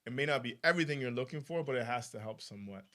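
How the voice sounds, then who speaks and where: A man speaking thoughtfully and in measured terms as a panelist in a live discussion. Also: mid-range voice, animated, fairly bright tone.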